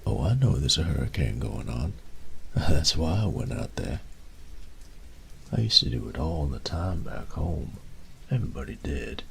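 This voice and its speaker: A man's voice: very deep voice, creaky, slight background noise, exaggerated voice. A man playing a carefree, thrill-seeking college roommate in an audio roleplay, talking in an easygoing, nonchalant way.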